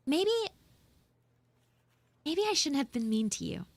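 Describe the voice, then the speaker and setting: A woman voicing a flustered, grudging tsundere frog girl in a live audio roleplay, speaking casually with halting stammers. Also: high voice, very animated, creaky, dry recording, exaggerated voice. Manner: halting, stammering, drawn-out delivery.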